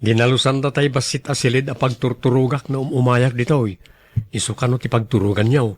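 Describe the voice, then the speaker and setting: A man's voice: medium pitch, steady tone, brisk, lightly acted. A man playing an earnest, devoted young son in a radio drama, respectful and pleading with his mother. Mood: embarrassed. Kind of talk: Ilocano radio drama acting.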